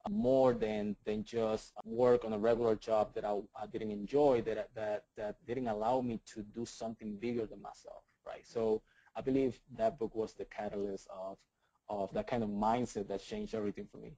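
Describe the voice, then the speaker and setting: An eager man in his thirties with a Puerto Rican accent, talking as an interview guest. His voice is mellow and relaxed, recorded dry and close. He often stumbles over repeated words and adds "right?" to his sentences. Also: on the low side, normal speed, creaky, dry recording, highs cut off. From Puerto Rico. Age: 30s. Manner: enthusiastic, repeats words, many "right?" tags. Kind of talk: casual interview talk.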